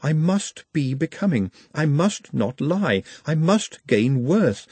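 A man doing a formal, precise, gentle young scholar. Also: a little high, wildly swooping pitch, normal speed, husky, no echo, pitched above the performer's own voice, exaggerated voice. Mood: meek.

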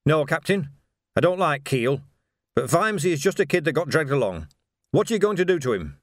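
Audiobook watchman by a male reader: quiet nerve, blunt questioning manner, dry sound. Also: on the high side, very animated, a little fast, dry recording, pitched above the performer's own voice. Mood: defiant. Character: quiet, unflinching young watchman, stubbornly questioning.

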